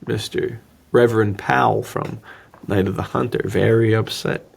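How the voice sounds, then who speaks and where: A man co-hosting a podcast in casual film discussion, joking, with a gravelly, husky voice. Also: fairly low pitch, fairly even intonation, moderate pace. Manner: joking, laughs easily. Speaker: podcast co-host.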